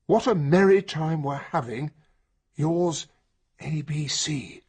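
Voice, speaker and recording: An actor playing an earnest, well-spoken English gentleman, a detective's loyal companion, with a husky, breathy British voice, in a radio drama. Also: fairly high pitch, slow pace. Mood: reading aloud. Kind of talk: radio drama acting and first-person narration.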